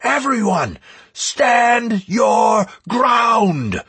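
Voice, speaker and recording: A commanding young battle leader , voiced by a male narrator, pitched up and urgent, barks orders with a rough edge to the voice. The delivery swings forcefully, in a fantasy audiobook style. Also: wildly swooping pitch, a little fast, heavily acted. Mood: angry, shouting.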